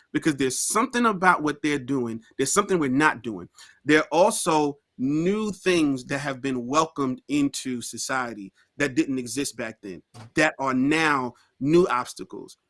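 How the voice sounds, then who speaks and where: Earnest bright-voiced New man. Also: medium pitch. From Queens, New York. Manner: earnest, emphatic, repeats key phrases. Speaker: podcast guest, musician and historian. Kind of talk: casual interview chat.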